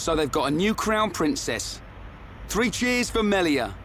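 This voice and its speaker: Blunt, highish-voiced young fighter. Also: male voice, big pitch swings, measured pace, a bit bright, a little hiss or hum, heavily acted. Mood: casual, hopeful. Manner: casual, blunt, slangy. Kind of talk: video game voice acting.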